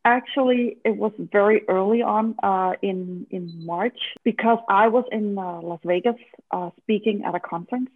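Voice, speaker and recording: A woman's voice: mid-range voice, normal speed, no echo. A woman in her forties, an event strategy consultant, answering interview questions in a casual, hesitant way with lots of fillers. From Denmark. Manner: hesitant, many fillers, laughs easily. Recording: dry tinny phone-like line.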